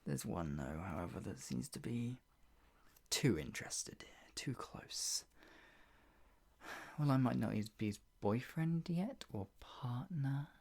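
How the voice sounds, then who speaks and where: A performer playing a possessive, sadistic yandere captor in an improvised ASMR roleplay, mocking and menacing, with a breathy voice that often drops toward a whisper. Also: mid-range voice, sing-song, a little mellow, heavily acted. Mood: jealous, simmering. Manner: menacing, mocking, slow drawn-out taunts broken by laughs and sighs.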